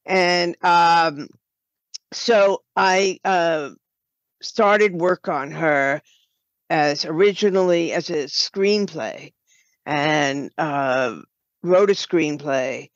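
Slow, halting older woman, dull recording. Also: deep voice, dry recording. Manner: halting, pausing mid-phrase to choose words. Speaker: podcast guest, writer and producer. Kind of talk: reflective interview talk.

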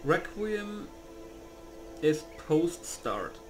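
A man's voice: fairly high pitch, very animated, drawn-out words, music in the background. A man doing casual game commentary, muttering to himself as he reads settings aloud and comments loosely. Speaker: gamer recording a mod setup.

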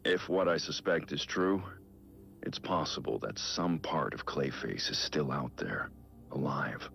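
An actor playing a brooding, world-weary middle-aged vigilante in a video game, dictating private logs in a grave, measured way with deliberate pauses. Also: male voice, a bit bright, full character voice. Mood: somber, regretful. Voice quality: gravelly, very low. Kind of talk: dramatic scripted game monologue. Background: music.

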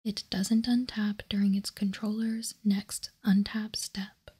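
Woman, ASMR host, hushed breathy whisper, soft dark tone, even and calm, close-miked. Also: mid-range voice, slow pace. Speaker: host of a card game ASMR channel. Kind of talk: ASMR, softly whispered card-by-card commentary.

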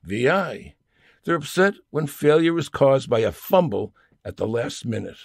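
Man with gravelly, creaky voice, wry delivery. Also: medium pitch, sing-song, measured pace, dry recording, clean studio sound. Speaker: humorist reading own comic essay. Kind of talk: scripted humorous monologue, read aloud.

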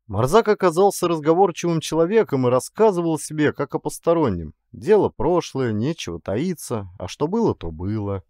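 A man narrating a literary story as an audiobook, in an expressive, actorly reading style. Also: a little high, moderate pace, hardly any pauses, no echo, studio quality.

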